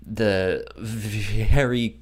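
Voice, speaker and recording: A man co-hosting a podcast, talking casually and haltingly, often stammering over word starts. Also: fairly low pitch, steady tone. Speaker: podcast co-host. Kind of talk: casual book discussion chat.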